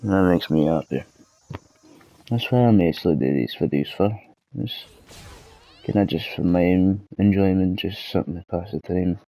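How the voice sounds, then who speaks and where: A Scottish man with a cold and a hoarse throat, rambling and swearing in a self-deprecating way through a casual gaming vlog. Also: sits low, moderate pace, long pauses, mellow, no room sound, poor audio. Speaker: gaming channel host.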